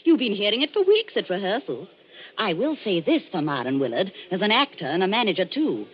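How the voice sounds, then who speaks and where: An actress playing a cynical, wisecracking young stage actress in a 1940s radio play. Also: female voice, medium pitch, very animated, fairly quick, breathy edge. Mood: wry. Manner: dry, wisecracking. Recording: dull old radio recording with faint hiss.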